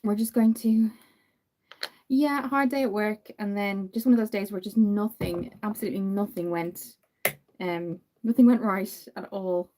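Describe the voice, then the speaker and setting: A young woman with a Dublin accent talks in a relaxed, rambling way, stopping often to greet viewers by name. Her voice is mellow and warm. She sits at a medium, everyday pitch. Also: normal speed, slight background noise. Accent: Irish, Dublin. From Ireland. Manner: chatty, apologetic, greets viewers by name, frequent ums. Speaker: makeup livestream host. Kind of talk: casual live-stream chat while doing makeup.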